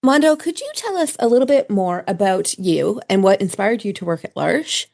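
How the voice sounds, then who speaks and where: A woman's voice: medium pitch, expressive, moderate pace, gravelly, a little mellow. A woman radio host giving a scripted introduction and asking her guest questions. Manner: reads from a prepared script.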